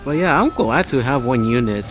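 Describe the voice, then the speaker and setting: Male vlogger for casual store walkthrough, average pitch, thinks aloud and rambles, prominent background music. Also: expressive, moderate pace. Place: inside a large home improvement store. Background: store music from overhead speakers.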